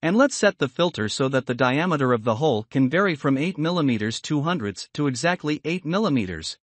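A man giving scripted, instructional software tutorial narration in a measured, explanatory manner. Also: medium pitch, no echo.

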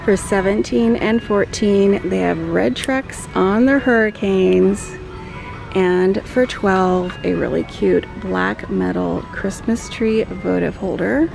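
A woman vlogger walking a store aisle with her phone, calmly listing decor items and their prices. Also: medium pitch, slow, gravelly, over music. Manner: calm, listing prices item by item. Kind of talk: shop-with-me narration. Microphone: handheld phone camera.

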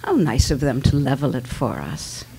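A woman's voice: rumbling low, very animated, moderate pace, vocal fry, warm tone, breathy edge, full character voice. A woman playing a vain, blunt, ailing mother, theatrical and dismissive, in a staged dramatic reading. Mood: wry. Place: small dimly lit stage venue. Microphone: microphone on a stand.

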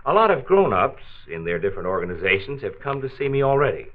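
A man's voice: medium pitch, very animated, fast talker, natural voice. A man playing a patient, avuncular county health doctor who explains things in a 1940s radio drama. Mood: encouraging. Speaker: health commissioner. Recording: tinny band-limited 1940s transcription disc.

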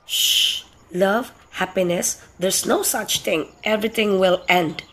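A woman's voice: medium pitch, slow pace, fairly bright tone. A woman voicing a jealous, gloating snake in an amateur puppet show, with hissing shushes and a taunting drawl. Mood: gloating, bitter. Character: jealous, gloating snake spreading bad news.

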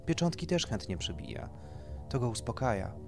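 A man's voice: medium pitch, sing-song, moderate pace, vocal fry, over music. Man voicing a blasé wealthy middle-aged woman. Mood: matter-of-fact. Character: wealthy, matter-of-fact woman in her forties.

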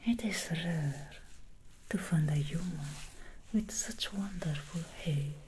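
Woman whispering as a seductive demon hairdresser, low, unhurried, mellow, faint hiss, close mic. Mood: alluring. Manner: slow, teasing and alluring. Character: seductive female demon hair stylist from hell. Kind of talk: ASMR roleplay. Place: indoor studio set. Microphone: close ASMR microphone.

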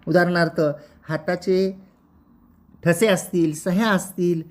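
Formal, methodical legal explainer, medium pitch. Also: steady tone, slow pace, darkish tone. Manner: formal, methodical, repeats and restates points.

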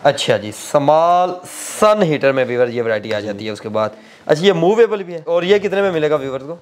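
A man's voice: on the high side, animated, fairly quick. A young man with a Pakistani accent, hosting and interviewing on a product visit, repeating answers for viewers. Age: young adult. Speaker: host of a product video channel. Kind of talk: presenting and interviewing on a factory visit. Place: indoor room stacked with cardboard boxes. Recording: background music under the voice. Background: music.

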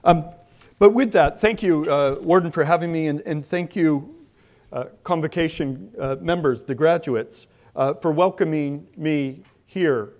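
A man's voice: a little high, unhurried, darkish tone. A middle-aged man giving a conversational lecture in a large indoor hall, speaking into a lectern mic and then a clip-on mic while moving around. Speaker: guest speaker. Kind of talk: public lecture.

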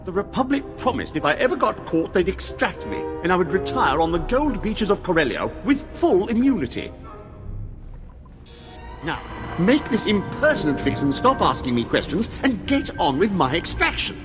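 An actor playing a pompous, cowardly, whining Imperial official in a video game, haughty and fussy. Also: male voice, sits high, very animated, normal speed. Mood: indignant. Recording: long hall reverb, music behind.

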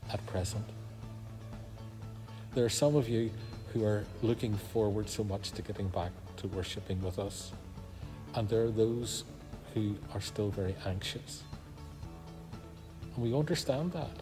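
An older minister talks to his congregation in a calm, reassuring way. His voice rings through a big empty church with a long reverb. Music plays clearly behind him. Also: on the low side. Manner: measured, gentle and reassuring. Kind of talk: pastoral announcement to congregation. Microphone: phone camera close up, then from a distance. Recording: echoey church hall with background music.

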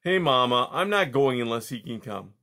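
A man's voice: mid-range voice, lively intonation, normal speed, bright, forceful tone. A man acts out a defiant young mouse son in a children's storybook. He talks in curt, slangy bursts. His temper is surly and rude.

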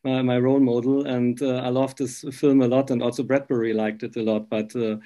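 A man, interviewing casually in halting, English. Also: medium pitch, flat delivery, dark tone. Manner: halting non-native English.

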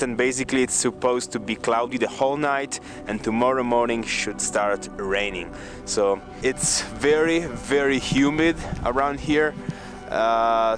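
A young man talking casually to his camera outdoors in a vlog, thinking aloud with some hesitation. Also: mid-range voice. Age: young adult. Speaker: vlogger. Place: outdoors on an open mountain hillside.

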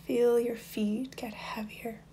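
A woman guiding a meditation in a soft, breathy, near-whispered voice, soothing and unhurried, with long pauses between instructions. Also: fairly high pitch, level tone, a little hiss or hum. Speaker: meditation guide. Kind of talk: guided meditation.

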